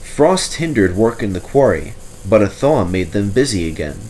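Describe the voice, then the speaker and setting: Animated male storybook narrator. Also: on the low side, normal speed, a little hiss or hum. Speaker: storybook reader. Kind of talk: expressive scripted storybook narration.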